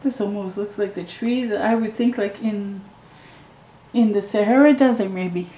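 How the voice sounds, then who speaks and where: A middle-aged woman reading a picture book aloud to children at home, slowly and gently, with excited asides to the viewer. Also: mid-range voice, echoey room, slight background noise, poor audio. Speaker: storytime reader. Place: living room at home.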